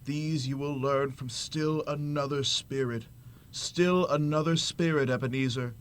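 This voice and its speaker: A man acts as an aged male spirit in a radio play. He is solemn and grave, and he pronounces doom in a foreboding way at a middling pitch. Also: steady tone, a little slow, clean tone, natural voice. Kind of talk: radio drama acting.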